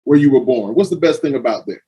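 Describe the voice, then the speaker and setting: A man's voice: medium pitch, fast talker, slightly rough. A man hosting an interview show, hyping his guest with repeated catchphrases and easy laughter. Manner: energetic, repetitive hype phrases, laughs often. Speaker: podcast host.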